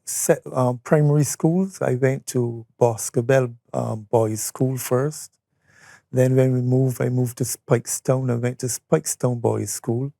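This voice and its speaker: Gravelly, mellow older Barbadian man. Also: mid-range voice, no room sound, clean studio sound. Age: 70s. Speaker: interview guest, retired or veteran educator. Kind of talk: conversational reminiscence. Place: studio.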